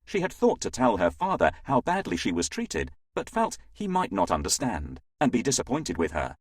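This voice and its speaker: A man narrating a children's fairytale in an expressive, scripted storytelling style. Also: fairly low pitch, moderate pace, breathy edge, dry recording.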